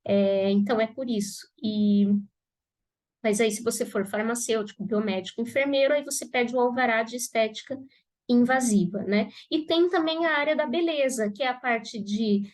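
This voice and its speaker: A Brazilian woman with a slightly high voice teaches students in a live class. She is stuffed up with a cold, and her talk stays casual and chatty, with lots of "né?" tags. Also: normal speed. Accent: Brazilian Portuguese. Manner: conversational, frequent "né?" tags. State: congested with a cold. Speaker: pharmacist teaching aesthetics students.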